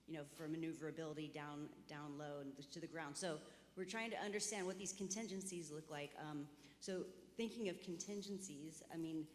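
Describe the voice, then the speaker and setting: A woman with a low-pitched voice moderates a conference discussion in an informal way. Her voice echoes strongly through the hall, over faint background noise. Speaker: panel moderator.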